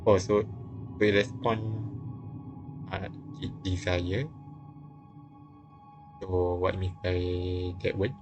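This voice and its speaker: A man with a fairly low voice narrates a visual novel live. He reads haltingly and adds casual remarks, with game music clearly behind him. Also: flat delivery, lo-fi sound. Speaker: streamer reading a visual novel aloud. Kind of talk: live read-aloud narration with casual comments.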